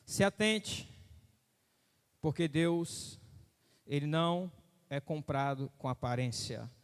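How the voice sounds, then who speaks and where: A man's voice: on the high side, animated, takes it slowly, clean tone, big-room sound. A man preaching a sermon, emphatic and repetitive, often asking the listeners whether they follow him. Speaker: pastor.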